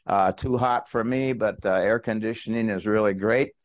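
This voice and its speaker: A man hosts a podcast with a fairly low voice. He is informal and chatty, addressing listeners and guest directly. The sound is dry, with very little room in it. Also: moderate pace, dry recording. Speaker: podcast host and business coach. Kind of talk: casual podcast introduction and interviewing.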